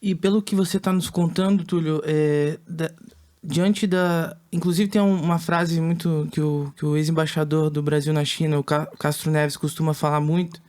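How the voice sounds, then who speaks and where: A man from Brazil with a fairly high, flat voice. He puts questions to a guest in a business talk, halting and restarting as he frames them. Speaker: interview host. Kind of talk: conversational business interview.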